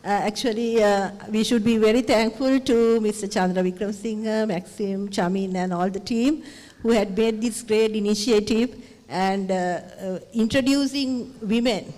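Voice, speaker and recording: A woman's voice: medium pitch, fairly even intonation, normal speed, a little mellow. A woman tourism official with a Sri Lankan accent giving a formal thank-you speech, hesitant with fillers. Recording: long hall reverb.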